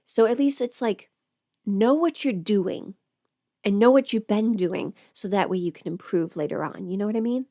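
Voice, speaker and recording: A woman's voice: medium pitch, normal speed, dark tone, no echo, studio quality. A young woman chatting casually as a livestream host, informal and talkative, often putting rhetorical questions to her listeners. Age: young adult.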